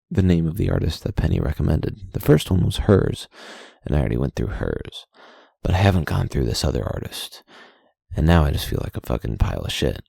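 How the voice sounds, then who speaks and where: A man's voice: extremely low pitch, creaky, mellow, breathy edge, no room sound, studio quality. A young man casually reading his journal aloud late at night, rambling, swearing and putting himself down. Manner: profane, self-deprecating, rambling.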